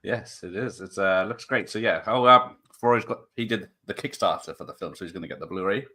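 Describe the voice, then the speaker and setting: A man's voice: lowish voice, brisk, bright, forceful tone. A man hosting an interview in a chatty, jokey way, reading out viewer names and asking a guest questions. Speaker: interview host. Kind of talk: casual interview chat.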